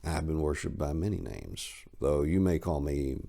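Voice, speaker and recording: A man reading aloud as an ancient fallen angel pleading in a storybook horror tale. The voice sits very low with a gravelly edge, measured and solemn, rising and falling widely as it bargains. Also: very animated, dark tone, full character voice. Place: study lined with bookshelves. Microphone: lapel mic.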